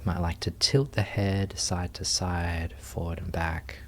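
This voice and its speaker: A man with a low-pitched voice guides a meditation. He speaks very slowly and gently, leaving pauses between short phrases. The delivery is that of a teacher giving soft, unhurried instructions. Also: little pitch movement, slight background noise.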